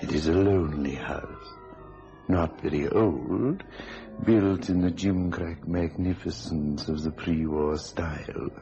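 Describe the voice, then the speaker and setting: An actor playing an ominous, sardonic narrator of a radio horror tale, speaking slowly and deliberately. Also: male voice, low-pitched, mellow. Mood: ominous, wry. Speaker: narrator and series announcer. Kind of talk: radio thriller scripted narration. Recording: dull old radio recording, music behind.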